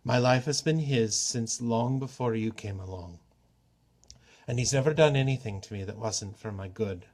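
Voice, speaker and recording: Man reading as a gentle, weary old father. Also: medium pitch, moderate pace, natural voice. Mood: tender. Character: weary old father, humble, loyal and tender. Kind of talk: storybook character reading.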